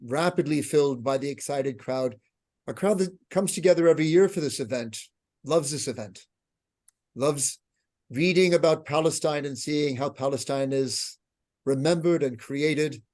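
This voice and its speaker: A man with an American accent, hosting an awards ceremony with a measured, earnest, grave speech. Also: fairly high pitch, darkish tone. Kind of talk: solemn formal speech. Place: online video event.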